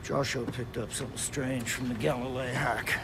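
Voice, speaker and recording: A man's voice: mid-range voice, fairly even intonation, moderate pace, heavy background noise, close to an everyday voice. A man playing a foul-mouthed older hacker mentor in a video game, wry and boastful, with a dryly joking manner. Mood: dry, intrigued. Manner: sardonic, dryly joking, profane. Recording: music playing behind.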